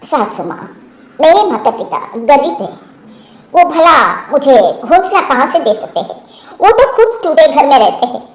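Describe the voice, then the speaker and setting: A woman's voice: high voice, sing-song, moderate pace, roomy sound, voice pushed up, rough recording. A woman voicing a young female crow bride in a cartoon, meek, respectful and pleading. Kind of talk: Hindi cartoon story character acting.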